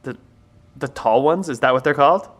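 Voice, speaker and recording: An actor playing an anxious, protective middle-aged scientist leading survivors, speaking in an urgent, earnest, naturalistic audio drama style. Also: male voice, mid-range voice, fairly quick, fairly bright tone, close to an everyday voice. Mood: wary, incredulous.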